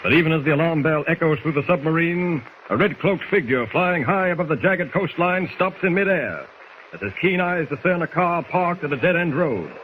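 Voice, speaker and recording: A man's voice: a little high, expressive, normal speed. A man narrating an adventure story in dramatic 1940s radio style. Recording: noisy 1940s radio recording.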